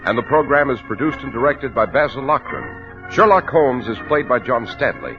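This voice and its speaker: A man's voice: mid-range voice, big pitch swings, moderate pace, over music. A man announcing a scripted programme in polished 1940s radio style, on a muffled old recording.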